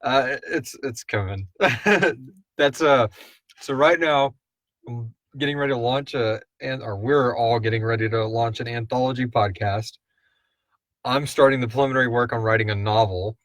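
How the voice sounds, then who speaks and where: A man's voice: mid-range voice, moderate pace, a bit bright, no room sound. A man hosting a podcast, chatting casually and thinking aloud as he answers listener questions. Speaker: podcast host and creator. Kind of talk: casual live Q&A chat.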